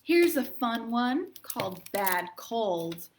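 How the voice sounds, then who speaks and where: A woman hosting a children's storytime, reading poems aloud and chatting in an expressive, encouraging manner. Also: fairly high pitch, takes it slowly, a little hiss or hum. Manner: expressive, encouraging, addressing young listeners. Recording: echoey, roomy sound.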